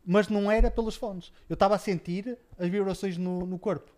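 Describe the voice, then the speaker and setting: A man's voice: sits high, steady tone, brisk, a little mellow. A man from Portugal with a European Portuguese accent, telling stories in casual chat as a podcast guest, animatedly and with many repetitions. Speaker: podcast guest, former esports coach.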